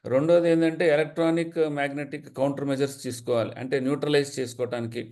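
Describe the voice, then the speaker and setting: Fast-talking Telugu-accented man explaining. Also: medium pitch, steady tone, a little mellow. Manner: mixes in English technical terms. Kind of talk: spoken expert explanation.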